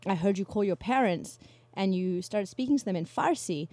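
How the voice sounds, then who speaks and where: A young woman in casual conversation, chatty and quick to laugh, often restarting her sentences. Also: mid-range voice, animated, a little mellow, no room sound.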